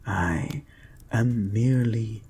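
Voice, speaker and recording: Casting: male actor as sinister, possessive hypnotherapist for audio drama; hushed, deliberate, creaky murmur and whispers, calmly controlling, faint noise behind. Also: fairly low pitch. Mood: coaxing, calm. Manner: soft, breathy, deliberate murmur often dropping into whispers. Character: creepy, obsessive male hypnotist therapist, calm and controlling. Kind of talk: slow guided-meditation hypnosis roleplay, audio drama.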